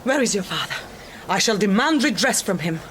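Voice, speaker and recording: A woman's voice: medium pitch, animated, brisk, a bit bright, close to an everyday voice. A haughty young aristocrat with a cruel streak, from a gothic drama, played by a woman. She speaks in a cutting, imperious way over faint background noise. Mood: indignant fury. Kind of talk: gothic audio drama acting.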